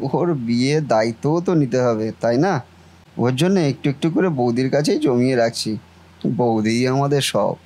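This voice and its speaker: Man acting an animated, trusting young nephew. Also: mid-range voice, lightly acted. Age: young adult. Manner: earnest, expressive. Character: trusting, eager, devoted young brother, gullible nephew. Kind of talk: cartoon family drama acting.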